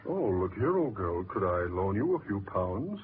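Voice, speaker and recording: An actor playing a wry, scoffing British gentleman with a dry manner in a 1940s radio drama. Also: lowish voice, big pitch swings, moderate pace, a crowd in the background. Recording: noisy, tinny 1940s radio recording.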